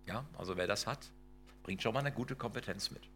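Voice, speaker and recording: A man's voice: fairly low pitch, fairly quick, fairly bright tone, faint music behind. A middle-aged man giving a lecture, emphatic and full of rhetorical questions and tag questions. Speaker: lecturer on intercultural work. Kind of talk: lecture with slides.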